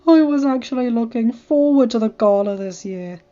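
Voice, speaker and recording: A woman's voice: on the high side, normal speed, dark tone, lo-fi sound, close to an everyday voice. Brash sporty mare, blunt and whiny; woman voicing.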